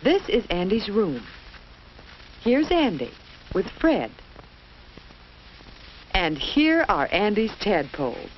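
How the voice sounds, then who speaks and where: Animated woman narrator on old film soundtrack. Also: mid-range voice, slow, long pauses. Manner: simple didactic phrasing with rhetorical questions to the viewer. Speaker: narrator of an educational children's film. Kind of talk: scripted storytelling narration addressed to young children. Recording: dull lo-fi old film soundtrack, faint hiss.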